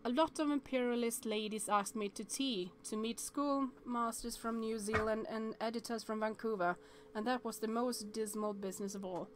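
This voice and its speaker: A woman's voice: fairly high pitch, steady tone, moderate pace, few pauses, slight background noise. A woman streamer reading a visual novel aloud, stumbling over words and chatting to viewers between passages.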